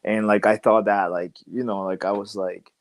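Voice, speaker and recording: A man's voice: lowish voice, flat delivery, moderate pace. Young man rambling with many fillers. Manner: rambling and reflective, many "like" and "you know" fillers. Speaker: professional wrestler, podcast guest. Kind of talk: casual interview chat.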